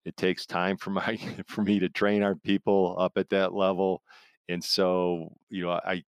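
A man's voice: sits low, flat delivery, unhurried, smooth, no room sound. A man speaking as an expert guest in an interview, explaining things conversationally in a rambling way with analogies. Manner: rambling, explains through analogies and imagined quotes.

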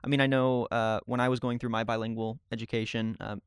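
Man, podcast host, casual friendly chat, smooth clear voice, dry sound. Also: medium pitch, level tone, fast, no room sound. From raised speaking Spanish at home, mother from Colombia. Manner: friendly, chatty, lots of fillers.

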